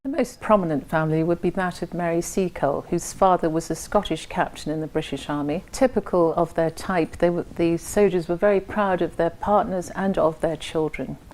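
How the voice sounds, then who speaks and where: A woman's voice: on the low side, fairly quick, few pauses, darkish tone. A woman speaking in a documentary interview, explaining with occasional fillers.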